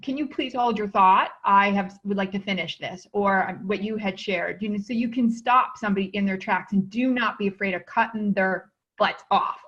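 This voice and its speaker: A woman's voice: medium pitch, moderate pace, hardly any pauses, a bit bright. Woman, speaking coach hosting, upbeat affirming tone, dull muffled audio. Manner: enthusiastic, affirming, many "mm-hmm"s. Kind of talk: interview host chat. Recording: dull lo-fi sound.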